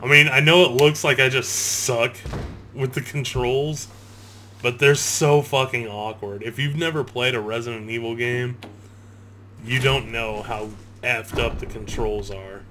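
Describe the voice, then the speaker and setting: A man of thirty comments casually as he plays a video game, with an average-pitched voice. He swears freely, grumbles and mocks himself. Clear game noise sits behind him. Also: steady tone, unhurried, pushed and bright. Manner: sweary, griping, self-deprecating. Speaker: gamer, video host. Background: horror video game sound.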